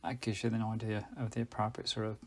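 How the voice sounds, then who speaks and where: A man's voice: on the low side, level tone, quick pace, a little mellow, a little hiss or hum. A man presenting a casual hands-on gadget review in a rambling way, often correcting himself and getting slightly tongue-tied.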